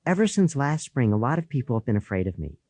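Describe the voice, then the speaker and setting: A man's voice: mid-range voice, sing-song, fast, darkish tone, dry recording. Male narrator as self-assured young schoolgirl, cool, knowing, unbothered, children's audiobook, dry close sound. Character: cool, self-assured seventh-grade girl.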